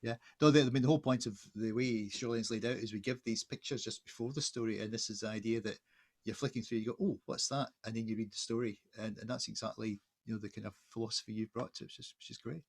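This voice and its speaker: Husky-voiced man hosting a casual interview. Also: fairly low pitch, steady tone, quick pace. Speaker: host, magazine editor interviewing an artist. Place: online video call.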